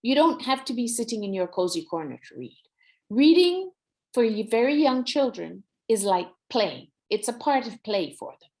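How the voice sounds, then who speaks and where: Female workshop presenter, Nepali accent, slightly high voice, very varied intonation, encouraging and instructive. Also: very animated, moderate pace, a little mellow. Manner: encouraging, instructive, mixes Nepali phrases into English. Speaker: workshop presenter on early reading. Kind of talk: explanatory presentation to parents.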